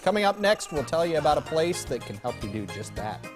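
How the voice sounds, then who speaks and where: A man's voice: a little high, big pitch swings, fairly quick, over music. A man hosting a television segment, speaking conversationally as he presents and interviews a guest. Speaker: TV show host.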